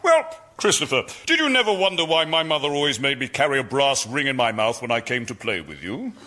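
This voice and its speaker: An actor playing a solemn magical Christmas lion, a moral guide with a deliberate, theatrical delivery in a radio comedy sketch. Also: male voice, medium pitch, very animated, pushed and bright. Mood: menacing, patient. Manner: portentous, theatrical, mouthing words deliberately.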